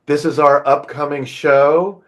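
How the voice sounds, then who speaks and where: A middle-aged man from the United States, a composer chatting as a guest in an interview, relaxed and talkative with fillers and restarts. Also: on the high side, a little slow. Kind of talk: casual interview chat.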